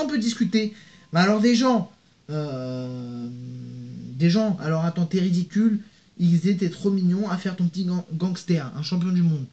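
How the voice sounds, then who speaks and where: A young man ranting casually to camera as a YouTuber, animated and slangy, constantly addressing the viewer and restarting his sentences. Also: on the high side, highs cut off. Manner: reads out hostile comments, many fillers and restarts.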